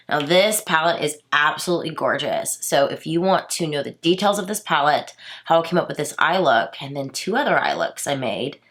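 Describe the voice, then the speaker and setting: Low-voiced nasal woman, chatty beauty vlogger. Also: fairly bright tone. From Houston, Texas. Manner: chatty, rambling asides with many fillers. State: stuffy nose from allergies. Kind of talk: casual makeup tutorial chat.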